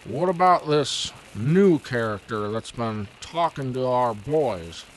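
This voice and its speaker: An actor playing a stern, demanding police commissioner in an old time radio drama. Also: male voice, mid-range voice, animated, takes it slowly, slight background noise, low-quality recording.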